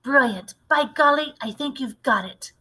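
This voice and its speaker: This is a loving old grandmother from a storybook, played by a woman reader. She speaks in a measured, bright voice, shrewd and cautious, fondly admonishing and encouraging. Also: high-pitched, animated, pitched above the performer's own voice, heavily acted. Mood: admiring.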